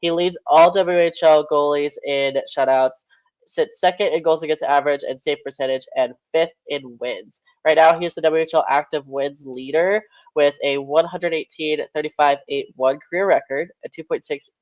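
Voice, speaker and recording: A man's voice: fairly high pitch, fairly even intonation, moderate pace, a little mellow, no echo, muffled recording. A young man hosting a casual podcast, stuffed-up and nasal, rambling through updates and stats with plenty of fillers. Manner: rambling, self-correcting with fillers. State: congested, nasal. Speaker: podcast host.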